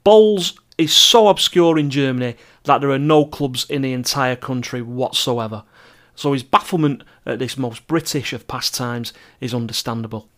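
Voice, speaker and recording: A man's voice: mid-range voice, moderate pace, a bit bright. A middle-aged man hosting a travel podcast, reading scripted narration with chatty asides in a wry, self-deprecating manner. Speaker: podcast host and travel writer.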